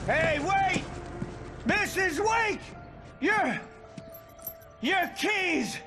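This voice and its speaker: An excitable, flustered man in a video game cutscene. His voice is very high and strained upward, yet the words come slowly and stumble as he calls out. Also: over music, heavily acted. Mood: flustered, urgent. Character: flustered man calling out urgently after someone.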